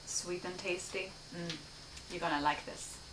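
A woman in her thirties from Sweden hosting a home cooking show, calmly explaining each step as she cooks in her kitchen. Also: on the low side, measured pace. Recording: echoey kitchen, distant mic, noisy.

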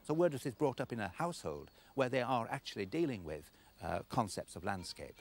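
A man's voice: medium pitch, brisk, faint music behind. A middle-aged man explaining as an expert in an informal, discursive way. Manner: animated, discursive. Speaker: expert commentator. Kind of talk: informal explanatory talk to camera.

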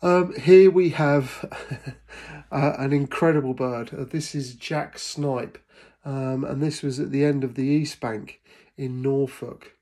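A middle-aged man from England talks through his birding trips in a relaxed voice-over. He sounds enthusiastic but stops often with ums and uhs, his pitch sitting in the middle. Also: slow pace. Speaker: birding vlogger. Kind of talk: casual voice-over narration.

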